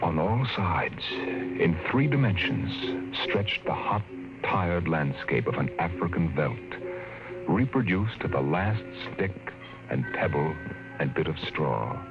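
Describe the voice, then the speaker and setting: A man narrating a 1950s radio drama, measured and slowing down for dramatic effect. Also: deep voice, very animated. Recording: muffled old radio broadcast with music.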